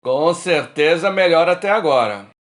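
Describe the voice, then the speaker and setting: A man plays a middle-aged Brazilian drinker out with old friends. His voice is somewhat high for a man and moves up and down a lot. He is frank and colloquial, in radio drama style. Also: animated, moderate pace, lightly acted. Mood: teasing, tipsy. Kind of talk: radio drama acting, casual bar chat.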